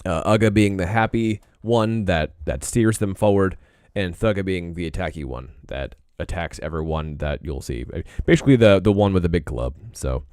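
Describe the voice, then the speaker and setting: Deep-voiced man chatting on stream. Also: normal speed, few pauses, darkish tone, dry recording, clean studio sound. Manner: laid-back, rambling, frequent throat clearing. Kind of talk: casual live-stream chat while drawing.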